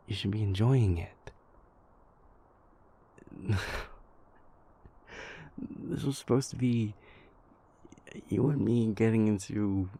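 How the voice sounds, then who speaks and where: A man plays an anxious vampire prince in an intimate audio roleplay, confiding in his lover. His voice sits low and husky, with a gravelly rasp. He speaks slowly and haltingly, stumbling over and repeating words as he doubts himself. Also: warm tone, full character voice. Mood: grateful, regretful, then wistful.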